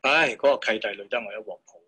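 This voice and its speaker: A man's voice: fairly low pitch, fast, bright, forceful tone, husky, exaggerated voice. A man playing a grumbling injured witness. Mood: aggrieved. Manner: grumbling, complaining at length. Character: injured male witness, complaining and aggrieved. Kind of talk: courtroom training video role-play.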